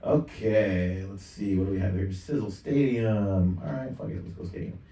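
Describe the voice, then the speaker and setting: Man, 50s, low voice, offhand tutorial host thinking aloud; roomy, slightly distant mic. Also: fairly quick, dark tone. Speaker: tutorial presenter. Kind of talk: casual screen-share software tutorial. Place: indoor home studio desk.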